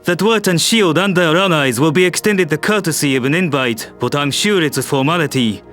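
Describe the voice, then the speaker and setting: A man's voice: a little high, brisk, fairly bright tone, soft background music, close to an everyday voice. A man voicing a composed male world leader in a science fiction audio drama, speaking formally and diplomatically. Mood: pragmatic. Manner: formal, statesmanlike.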